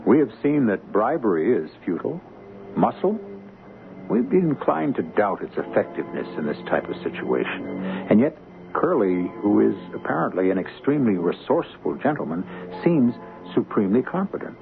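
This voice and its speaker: A man's voice: fairly low pitch, big pitch swings, normal speed, creaky, phone-quality sound, music in the background. A man hosting a radio mystery with theatrical scripted narration, formal, wry and musing. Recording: band-limited old radio broadcast.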